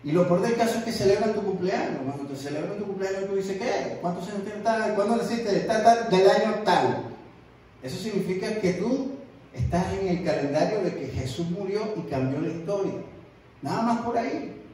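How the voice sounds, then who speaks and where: A man's voice: sits high, moderate pace, darkish tone. A man preaching a sermon as an evangelist, emphatic and repeating his words for stress. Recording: echoey room, distant mic.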